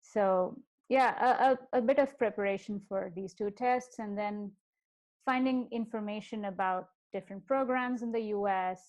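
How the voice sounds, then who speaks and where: A young woman from India, whose first languages are Kannada and Telugu, answering interview questions thoughtfully with many pauses and fillers. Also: medium pitch, a little mellow, rough recording. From India (Karnataka). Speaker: guest.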